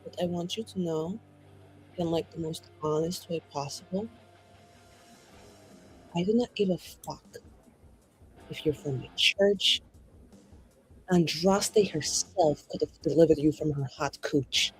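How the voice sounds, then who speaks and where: A woman voicing a defiant, intimidating fantasy woman in a tabletop game, speaking bluntly and deliberately with menace. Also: low-pitched, soft background music, rough recording. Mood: cold, menacing.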